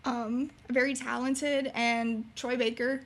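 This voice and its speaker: Young woman with a high, youthful voice. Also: little pitch movement, slow. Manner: bubbly, giggly. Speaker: podcast guest, streamer and beginning voice actor. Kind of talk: casual interview chat.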